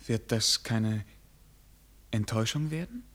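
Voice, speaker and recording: An actor playing a stiff, naive young prince, formal, earnest and hesitant, in a German radio play. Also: male voice, on the low side, lively intonation, measured pace, fairly bright tone. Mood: troubled, awed.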